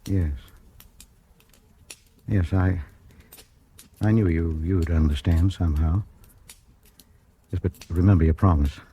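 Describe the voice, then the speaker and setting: An actor playing a meek, weary husband with a secret in 1940s radio drama style, halting and stammering. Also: male voice, sits low, fairly even intonation, mellow, a little hiss or hum. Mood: resigned, tender. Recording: old radio recording, music behind.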